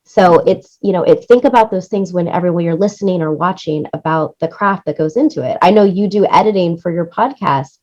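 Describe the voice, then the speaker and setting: Woman being interviewed, kinda low voice, explains stuff earnestly, casual, bit muffled lo-fi audio. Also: a little fast, hardly any pauses, darkish tone. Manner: earnest, explanatory, often checks with "right?". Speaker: interview guest, music supervisor and producer.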